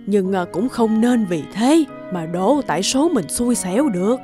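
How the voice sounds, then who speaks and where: A woman's voice: mid-range voice, expressive, a little slow, dark tone, faint music behind, natural voice. A woman playing a caring old grandmother in a cartoon, who chides, teases and reassures. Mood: chiding, reassuring. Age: older. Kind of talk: cartoon dubbing.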